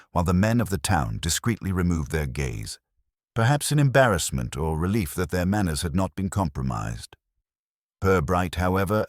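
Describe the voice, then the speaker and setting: A man reading scripted narration of a mystery story in a measured, literary storytelling manner. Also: low-pitched, dry recording, clean studio sound.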